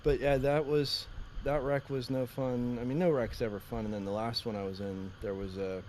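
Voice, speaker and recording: A man with a deep voice who tells his stories loosely, circling back and chuckling as he goes. He talks like a relaxed podcast co-host, with faint noise underneath. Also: moderate pace. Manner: rambling storyteller, laughs often. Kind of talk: casual chat.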